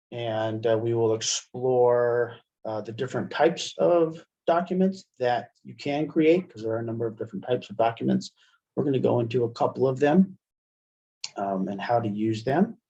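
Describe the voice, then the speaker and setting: A middle-aged man leads an online software tutorial with a mellow, relaxed tone at a medium pitch. He explains step by step in a halting way. The headset sound is dull and lo-fi. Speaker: webinar presenter, college media specialist. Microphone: headset microphone on video call. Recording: dull, lo-fi webinar headset audio.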